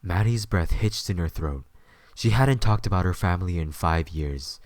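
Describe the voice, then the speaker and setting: A man's voice: deep voice, normal speed, a little mellow, no room sound. A man narrating a scripted story as the narrator of an audio drama.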